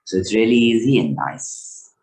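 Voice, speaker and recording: Male tech host, deep voice, casual rambling how-to delivery. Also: animated, moderate pace, a little mellow, low-quality recording. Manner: loose, rambling explanation with self-corrections. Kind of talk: casual screen tutorial.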